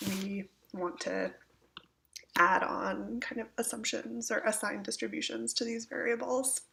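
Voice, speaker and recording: A woman with a gravelly voice, getting over a cold, gives an informal step-by-step software tutorial, somewhat hesitant as she thinks aloud. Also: a little high, steady tone, a little slow, low-quality recording. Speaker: instructor giving a software tutorial. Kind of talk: informal step-by-step screencast walkthrough. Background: computer keyboard typing.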